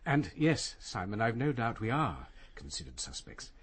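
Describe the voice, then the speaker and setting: A very husky, lowish-voiced head of chambers in a radio crime drama. He is formal and hesitant, with a voice that rises and falls widely. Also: big pitch swings, normal speed. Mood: measured, uneasy.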